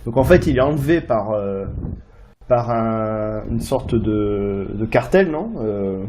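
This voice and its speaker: A man's voice: fairly low pitch, animated, takes it slowly, a little mellow, slight background noise. A man hosting a casual podcast chat, questioning and groping for words. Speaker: podcast host. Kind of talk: casual group discussion.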